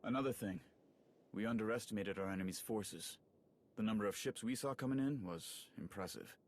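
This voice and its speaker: A man's voice: lowish voice, brisk, darkish tone, natural voice. A man acting a chatty, friendly male soldier and slicer in a video game cutscene, casual and wry with rambling asides. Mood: thoughtful.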